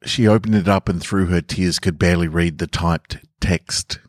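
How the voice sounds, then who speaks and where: A man's voice: low-pitched, fairly even intonation, moderate pace, no echo, studio quality. A man hosting a podcast, talking casually and reading short stories aloud as narrator. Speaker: podcast host and story reader.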